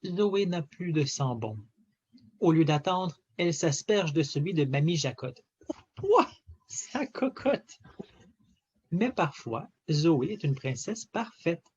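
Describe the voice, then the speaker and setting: A man reading a children's story aloud in an expressive, theatrical way with emphatic phrasing. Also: fairly high pitch, slow pace, breathy edge, dull audio. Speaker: storybook reader.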